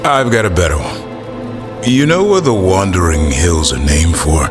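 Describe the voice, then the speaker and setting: An actor playing a boastful monster-fighter in a video game, telling war stories in a blunt way. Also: male voice, low voice, very animated, measured pace, music in the background. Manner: blunt, storytelling.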